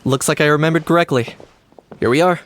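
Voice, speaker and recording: A man's voice: a little high, fast talker, slight background noise, natural voice. Man playing a composed, wry young adventurer. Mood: calm, casual. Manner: composed, understated. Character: calm, polite, dryly wry young male adventurer. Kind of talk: video game voice acting.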